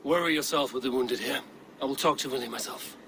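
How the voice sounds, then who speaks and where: An actor playing a steady Viking clan leader with a dry, wry and firm manner. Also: male voice, mid-range voice, fast talker, natural voice. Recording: game cutscene with ambient noise.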